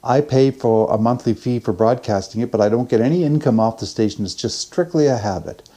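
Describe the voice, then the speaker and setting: Older male, relaxed dark-toned voice, folksy and self-effacing, chatty everyday vlog delivery. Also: mid-range voice, brisk. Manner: folksy, rambling, self-deprecating. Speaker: vlogger. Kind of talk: casual chatty daily vlog.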